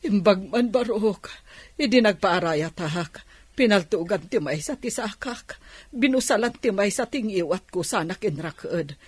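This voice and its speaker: An actress playing a distressed, emotional older aunt in a radio drama, with an Ilocano accent. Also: female voice, medium pitch, animated, measured pace, darkish tone, husky, no echo. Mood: distressed, grateful. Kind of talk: Filipino radio drama acting.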